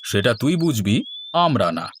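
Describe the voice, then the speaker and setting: A man's voice: medium pitch, sing-song, unhurried, a bit bright, slight background noise. A man voicing a lazy, greedy, scolding older brother in a cartoon folktale. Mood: bossy, dismissive. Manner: scolding, demanding, blunt.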